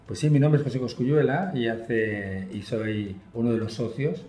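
A man speaking with a Spain Spanish accent answers interview questions with fluent enthusiasm. His voice sits at an ordinary pitch and he sprinkles in fillers as he goes. The office room around him gives a roomy echo. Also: moderate pace, a little mellow. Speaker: guest, software company partner. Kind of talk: conversational interview.

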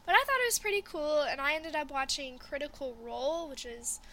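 A woman's voice: high-pitched, a little fast, bright, forceful tone, slight background noise. A young woman hosting a casual podcast chat, upbeat and filler-heavy as she steers the group from topic to topic. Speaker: podcast host.

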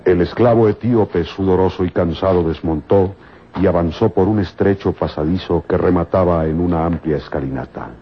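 A man's voice: low voice, moderate pace, slight background noise. A man narrating a scripted adventure serial in a dramatic, old-time radio style. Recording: muffled old radio recording. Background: music.

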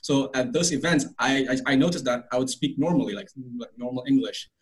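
Young male host from Malaysia, rapid and chatty, full of fillers and restarts. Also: medium pitch, poor audio. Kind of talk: casual conversation.

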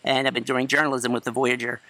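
A man in his forties, a high school English teacher, giving a chatty, self-assured class introduction outdoors in a courtyard. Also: flat delivery, fast, pushed and bright, dry recording, low-quality recording. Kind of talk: class introduction video lecture. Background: crickets and chirping.

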